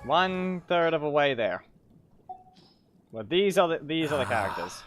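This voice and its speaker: A man's voice: fairly high pitch, big pitch swings, a little slow, music in the background. A man giving casual gameplay commentary as a streamer, in a rambling, self-correcting way with muttered asides. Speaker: game streamer. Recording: clearly noisy stream audio with clicks.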